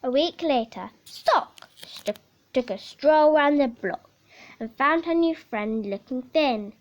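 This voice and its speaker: A woman reading a children's picture book aloud in a theatrical, measured way, with dramatic emphasis. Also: high voice, expressive, rough recording. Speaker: story reader.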